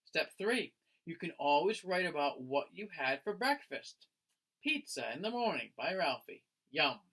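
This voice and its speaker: A man's voice: fairly high pitch, big pitch swings, measured pace, pushed and bright, poor audio. A man reading a children's picture book aloud like a teacher, expressively and with friendly address to young listeners. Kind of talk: animated children's read-aloud.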